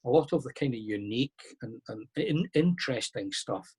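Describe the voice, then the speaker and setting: Man, husky voice, relaxed lecturer, conversational, video call. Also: medium pitch, normal speed. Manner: relaxed, conversational, thinking aloud with restarts. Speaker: lecturer on masonic history. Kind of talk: online lecture Q&A.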